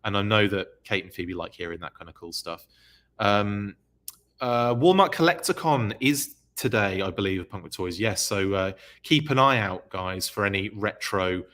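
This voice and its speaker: A man with a slightly low voice hosts a live news recap stream. He rambles casually and fills his pauses with ums and uhs. His voice is starting to give out. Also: a bit bright. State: losing his voice. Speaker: podcast host.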